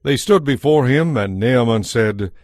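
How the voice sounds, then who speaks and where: A man's voice: medium pitch, lively intonation, unhurried, a little mellow, no echo. A man narrating a dramatized audio Bible as a scripted story, recorded close. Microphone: close, dry studio microphone. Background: faint music.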